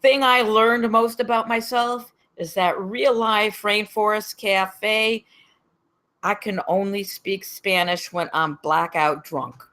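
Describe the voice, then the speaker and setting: A woman's voice: medium pitch, fairly even intonation, slow, a bit bright. Woman playing a blunt, commanding security captain. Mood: boastful, commanding. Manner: blunt, pompous. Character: brash, commanding female campus security captain, ex-military. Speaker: actor in a screenplay table read. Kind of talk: comedy script read aloud.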